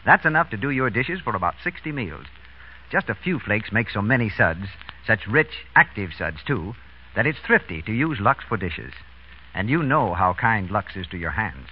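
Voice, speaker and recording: Animated American vintage radio announcer, male. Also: on the low side, normal speed, a little hiss or hum. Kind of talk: 1930s radio announcing and sponsor pitch. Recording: muffled vintage radio broadcast.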